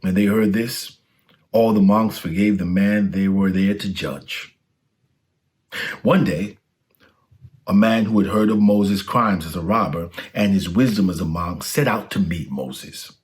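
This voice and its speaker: A man's voice: sits low, measured pace, darkish tone. A man reading a children's storybook aloud as a scripted narration.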